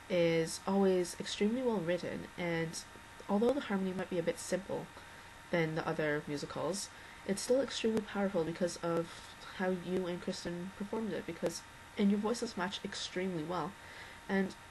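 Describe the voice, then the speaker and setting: A teenage girl with a low, slightly worn voice, rambling earnestly through an unscripted video message as a fan. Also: moderate pace, darkish tone, a little hiss or hum, poor audio. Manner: rambling, earnest, many restarts and fillers. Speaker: fan addressing a singer.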